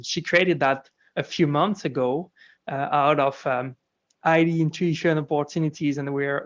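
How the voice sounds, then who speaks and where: A male host with a slightly high voice who speaks as an introduces his guest haltingly, full of "um" and "uh". The sound is dull and lo-fi, like a video call. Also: fairly bright tone. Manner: hesitant, many "um" and "uh" fillers. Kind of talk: interview hosting.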